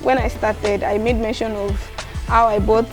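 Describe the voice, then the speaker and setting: A young woman from Nigeria with a Yoruba accent, answering interview questions softly and laughing often. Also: mid-range voice, flat delivery, moderate pace, over music. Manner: soft-spoken, laughs often, mixes in Yoruba. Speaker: guest vlogger.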